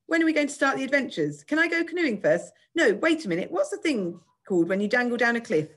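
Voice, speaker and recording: A woman's voice: on the low side, animated, fast talker. A woman voicing a boisterous, cheeky young girl in a children's story, bubbly and impatient. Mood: excited shouting.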